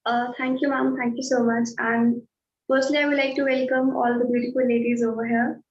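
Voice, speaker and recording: A woman's voice: a little high, level tone, a little fast, slight background noise. Teenage girl, echoey lo-fi call. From India. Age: teens. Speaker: brand ambassador.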